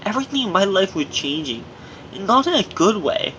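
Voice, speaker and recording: A man's voice: sits high, big pitch swings, brisk, fairly bright tone, slight background noise, poor audio. A man reading his own memoir aloud as scripted audiobook narration, in an earnest manner with occasional stumbles over words.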